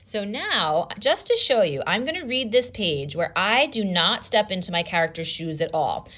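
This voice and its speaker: A woman with a bright, forceful voice, teaching through a storybook read-aloud. Her pitch moves widely as she explains and narrates expressively. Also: sing-song, normal speed.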